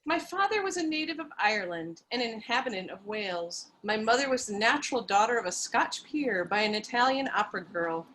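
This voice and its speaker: A woman's voice: fairly high pitch, expressive, brisk, few pauses, fairly bright tone, poor audio. A woman playing a young heroine, faint noise. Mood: earnest. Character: young woman recounting her origins.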